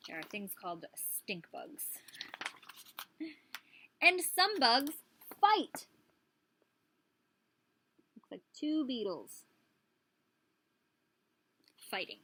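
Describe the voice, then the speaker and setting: Woman, bright high voice, unhurried, children's read-aloud, teacherly prompting. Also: sing-song, slightly rough. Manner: speaking to young children, prompting with questions. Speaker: preschool teacher. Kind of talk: children's picture book read-aloud with questions and asides.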